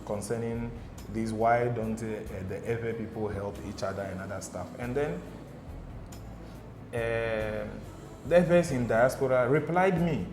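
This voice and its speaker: A man's voice: medium pitch, measured pace, noisy background. Ghanaian man telling stories, echoey room. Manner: animated storyteller, repeats "okay?" and "do you understand?". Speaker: guest. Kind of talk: casual studio interview. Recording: echoey room with music behind.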